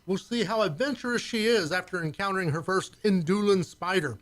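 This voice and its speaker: Guy doing a nervy grumbly spaceship engineer, high voice, sardonic, radio drama style. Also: a little fast, a bit bright. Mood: sardonic, wary. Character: grumbling, timid male starship chief engineer. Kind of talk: science fiction radio play acting.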